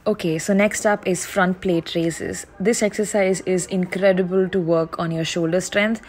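A woman's voice: fairly low pitch, steady tone, moderate pace, crowd noise. A young woman with an Indian accent, talking casually as a fitness vlogger. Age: young adult. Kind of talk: casual vlog narration. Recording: music behind the voice.

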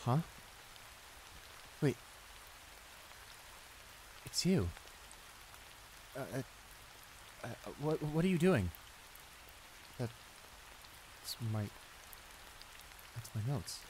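A man's voice: medium pitch, extremely slow, pauses often, poor audio. A man playing a flustered, apologetic young bully in an improvised roleplay audio drama, speaking in a hesitant, stammering way. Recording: steady rain noise behind the voice. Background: rain.

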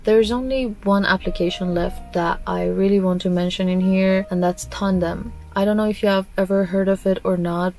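Farsi-native girl vlogging, lowish flat voice, lots of likes and uhs. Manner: chatty, frequent fillers like "like" and "uh". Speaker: language-learning YouTuber. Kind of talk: casual talk to camera. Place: small dim room with panelled walls, indoors.

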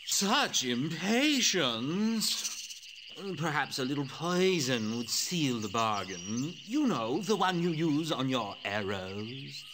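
A man's voice: medium pitch, very animated, slow, background noise. A man playing a haughty, sly snake king in a children's cartoon story, with theatrical, mocking delivery. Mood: haughty, bargaining. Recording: music behind the voice.

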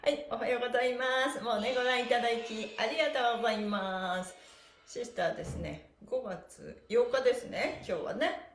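A woman's voice: on the high side, a little fast. A middle-aged woman hosting a livestreamed stretching lesson, with a cheerful, chatty manner and sing-song counting. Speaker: stretching class host, mime instructor. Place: small bare indoor room. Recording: echoey room with music.

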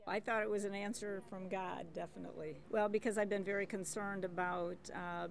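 An older woman, a volunteer doctor, answering interview questions in a calm, earnest way. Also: mid-range voice, fairly quick, gravelly.

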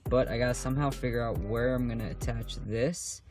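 A young man with a slightly raspy, hoarse voice chats casually with lots of ums while showing a car install in a how-to vlog. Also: mid-range voice, fairly even intonation, brisk, music in the background. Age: young adult. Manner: chatty and informal, with frequent ums. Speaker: car vlogger. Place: outdoors on a porch, then inside a parked car.

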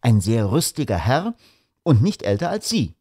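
A man's voice: mid-range voice, sing-song, moderate pace, dark tone, husky, dry recording, clean studio sound. A man voicing a polite, matter-of-fact male hotel clerk.

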